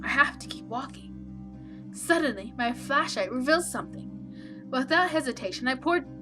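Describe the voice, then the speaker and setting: A woman reading out the part of an anxious, lost young protagonist in a horror visual novel, measured and subdued. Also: high-pitched, lively intonation, over music. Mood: uneasy, subdued. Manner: measured, subdued, stumbles over some words.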